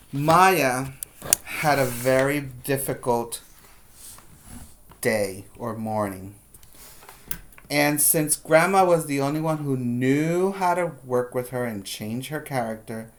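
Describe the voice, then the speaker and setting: A preschool teacher reads and explains a story for small children. The pace is slow and deliberate, with simple phrasing and repeated words for emphasis, in a mid-range voice. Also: medium pitch. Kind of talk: storybook read-aloud with explanations for young children.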